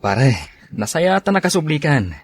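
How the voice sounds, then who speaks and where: A man's voice: a little high, very animated, moderate pace. A man playing a casual male friend in an audio drama, with an Ilocano accent. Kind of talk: dramatized radio-style story acting.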